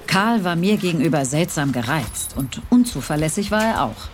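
A woman's voice: sits low, big pitch swings, normal speed, background noise. An actress playing a murdered older woman who narrates her own death in a wry, scripted crime documentary style. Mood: wry, ominous.

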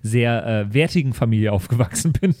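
A man's voice: medium pitch, expressive, brisk, warm tone, no room sound. Man chatting casually, very dry close sound. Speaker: podcast host and role-play player. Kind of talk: casual chat.

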